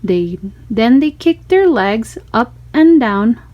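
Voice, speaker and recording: A woman narrating a children's storybook in an expressive read-aloud style. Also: fairly high pitch, slow, highs cut off.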